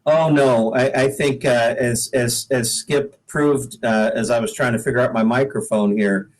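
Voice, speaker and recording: Halting man chatting in an interview. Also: medium pitch, fairly even intonation, rough recording. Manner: halting, repeats words while thinking. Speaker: guest singer-songwriter. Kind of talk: casual interview chat.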